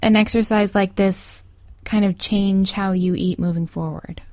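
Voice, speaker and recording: A woman speaking gently and in a measured way as a mindfulness guide and interviewer. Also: mid-range voice, fairly even intonation. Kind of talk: guided mindful eating exercise, then soft interview.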